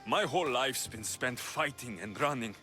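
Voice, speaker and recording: A man's voice: medium pitch, expressive, normal speed, sharp tone. A man voicing a vengeful, battle-weary ancient Greek warrior and grieving son in a dramatic video game, with grave, intense delivery. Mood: somber, weary. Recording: music under the dialogue.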